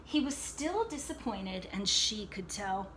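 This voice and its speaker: A woman reading a picture book aloud to children like a teacher, expressively, pausing to explain and check in with her young listeners. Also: medium pitch, very animated, a bit bright, a little hiss or hum, rough recording. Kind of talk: read-aloud storytelling with asides to young listeners.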